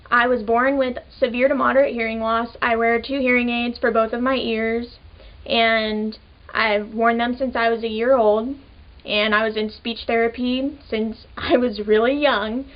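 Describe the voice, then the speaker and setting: A young woman of twenty with a high voice, talking to camera in a relaxed, chatty way and leaning on "like" between thoughts. The audio sounds a little dull and muffled. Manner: casual, chatty, many "like" fillers. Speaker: vlogger. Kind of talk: personal storytelling to camera.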